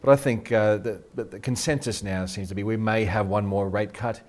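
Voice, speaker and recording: A middle-aged Australian man, a research head being interviewed, talks quickly and eagerly and restarts his sentences a lot. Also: fairly low pitch, fairly even intonation. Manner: quick, eager, repeats words when starting sentences. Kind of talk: casual interview talk. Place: radio studio.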